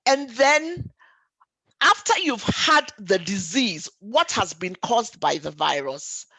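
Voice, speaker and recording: A woman's voice: on the high side, big pitch swings, takes it slowly, pushed and bright, breathy edge, no echo, poor audio. A woman doctor presenting a health webinar, explaining things for lay listeners in an emphatic, didactic way, repeating key points and asking rhetorical questions. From Ghana or the Caribbean.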